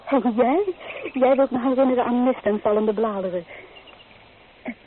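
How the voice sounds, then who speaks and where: An actress playing an earnest, dreamy young bride-to-be in an old Dutch radio play, expressive and gently reproachful. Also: female voice, fairly high pitch, normal speed, natural voice. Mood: wistful. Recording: muffled, noisy old radio recording.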